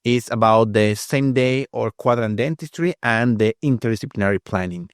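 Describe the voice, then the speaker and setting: A man hosting a podcast interview, speaking hesitantly with pauses and fillers. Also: mid-range voice, no room sound, clean studio sound. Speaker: podcast host.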